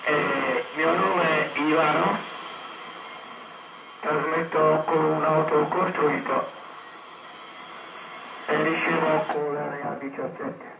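Heavy noise covers a man's slow, fairly high voice on shortwave radio. He gives call signs as a ham operator, the sound coming from a distance. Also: little pitch movement, gravelly. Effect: heard over a shortwave radio. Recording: very noisy echoey radio, distant.

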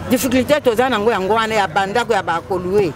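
A woman's voice: mid-range voice, fast talker, a crowd in the background. A middle-aged woman at an outdoor street market, talking animatedly into a handheld reporter's mic in a street interview. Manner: animated, gestures while talking.

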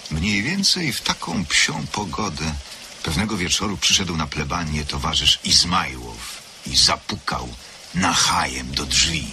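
A man's voice: very deep voice, big pitch swings, measured pace, bright, forceful tone, slight background noise, full character voice. A man narrating a literary radio drama of suspense as a solemn storyteller. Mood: grave, measured. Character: solemn male storyteller of a gothic tale.

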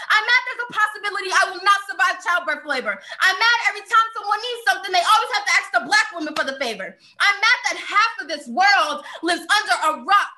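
A woman's voice: very high voice, bright, forceful tone. A young American woman performing slam poetry in an emphatic, declamatory way with repeated refrains. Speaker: spoken-word poet.

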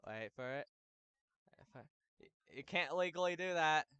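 A young man calling plays in an online football game, shouting touchdowns and then laying down the rules to the players. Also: on the high side, expressive, moderate pace, clean tone, no echo, muffled recording. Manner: hype announcer yelling touchdowns, then bossy about rules. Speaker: game commentator and league host. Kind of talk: excited play-by-play commentary.

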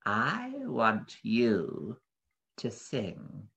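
Menacing storybook beast, performed by a man: soft, slithery, commanding, with drawn-out words. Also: medium pitch, wildly swooping pitch, creaky, dull audio, exaggerated voice. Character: big grey blob monster, commanding and menacing.

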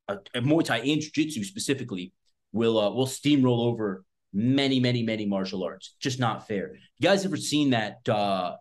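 A man of thirty-six, a self-defense instructor, talking casually and bluntly to camera, swearing often and rambling with lots of fillers. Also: on the low side. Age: 30s. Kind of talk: casual unscripted talk to camera. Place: small indoor room in front of a backdrop.

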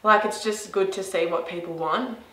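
A woman's voice: medium pitch, normal speed, pushed and bright. An Australian woman in her twenties chatting cheerfully and informally in a casual interview. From rural Victoria, Australia. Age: 20s. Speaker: interviewee, graphic designer. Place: small office indoors, echoey. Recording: echoey room sound.

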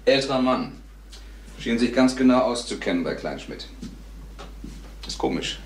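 In an echoey room with faint noise, a suspicious younger businessman played by a man speaks in a medium-pitched voice. He is polite and casual but clipped and probing, as in a radio crime drama. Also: expressive, normal speed, natural voice. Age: adult, younger than the salesman.

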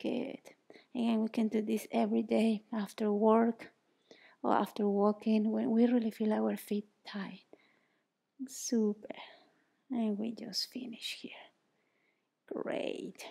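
A woman with a Mexican Spanish accent coaches a gentle foot exercise in a bright indoor yoga studio. Her voice is mellow and gravelly with a raised pitch. She keeps things soothing and encouraging and often sinks to a near whisper. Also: little pitch movement, slow pace, long pauses. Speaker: yoga teacher. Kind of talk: guided exercise instruction.

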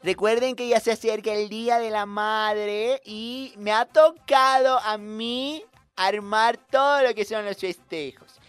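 A man's voice: sits high, fairly bright tone, clean tone, voice pushed up. A man voicing an overfriendly, effusive schoolteacher with a cloying, gushing manner in a comic monologue. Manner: cloying, drawn-out, gushing with diminutives. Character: overfriendly, effusive, flamboyant schoolteacher addressing pupils. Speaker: radio comedy performer.

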